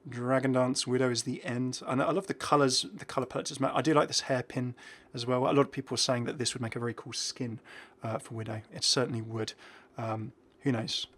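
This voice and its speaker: A man's voice: mid-range voice, fairly even intonation, fairly quick, a bit bright. A man hosting a gaming video, giving casual commentary and musing aloud in a chatty way. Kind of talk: casual game update commentary.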